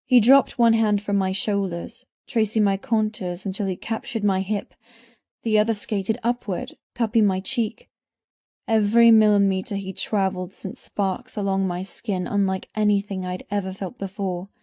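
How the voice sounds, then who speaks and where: A woman reading first-person romance fiction as an audiobook narrator, with intense, expressive delivery. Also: mid-range voice, moderate pace, dry recording, muffled recording.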